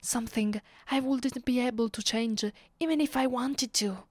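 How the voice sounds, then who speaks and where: A woman with an Italian accent playing a bitter, possessive, centuries-old vampire aristocrat in an intimate ASMR roleplay, speaking softly and dramatically, often dropping into a breathy whisper. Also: on the high side, fairly even intonation, no echo, clean studio sound, close to an everyday voice. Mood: bitter, brooding. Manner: slow, dramatic, imperious.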